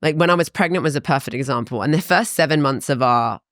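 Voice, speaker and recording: A woman's voice: sits low, dry recording. Chatty woman on a dry studio mic. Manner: chatty, animated storytelling with quick asides. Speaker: fitness coach guest. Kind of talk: casual podcast interview.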